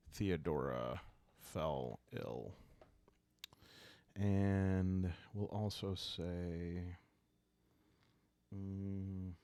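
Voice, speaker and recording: A man calmly improvising a solo roleplaying story for sleepy listeners, thinking aloud in short, halting phrases. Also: sits low, no room sound. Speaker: host and solo player of a journaling roleplaying game.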